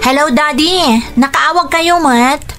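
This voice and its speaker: A woman's voice: high voice, slow pace, over loud music. An actress playing a caring young adult daughter in a radio drama, coaxing and concerned, slipping English into her talk. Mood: cheerful concern. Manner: coaxing, mixes English into Ilocano. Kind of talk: Ilocano radio drama acting.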